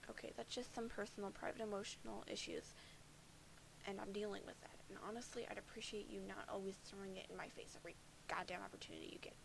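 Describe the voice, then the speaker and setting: A woman's voice: medium pitch, fairly even intonation, fast talker, creaky, background noise, lightly acted. A woman voicing a bitter, foul-mouthed alien troll boy who sees himself as a prophet of doom, sardonic and defensive.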